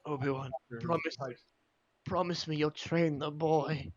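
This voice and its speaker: Man playing a high-pitched theatrical space-saga character. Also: fairly even intonation, unhurried, no echo, lo-fi sound, natural voice. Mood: dying, solemn. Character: dramatic film characters, from anxious officer to solemn master. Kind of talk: amateur comedic script table read.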